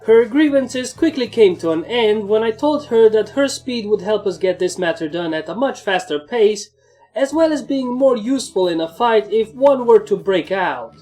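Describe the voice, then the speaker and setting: A woman's voice: mid-range voice, mellow. A woman reading first-person fan fiction as a scripted story narrator.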